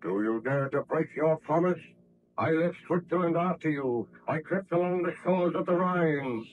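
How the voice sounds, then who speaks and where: An actor playing a brooding, vengeful monster, speaking slowly and formally with menace in 1930s radio drama style. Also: male voice, fairly high pitch, slight background noise, close to an everyday voice. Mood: bitter, accusing. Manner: slow, menacing, deliberate, formal. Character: brooding, vengeful male monster, hideous murderous creation. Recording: dull lo-fi old radio recording.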